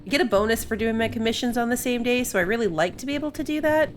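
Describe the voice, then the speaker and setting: A woman's voice: on the high side, rapid-fire, a little hiss or hum. A woman giving casual, chatty playthrough commentary as she plays a video game, thinking aloud as she goes. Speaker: gaming video host.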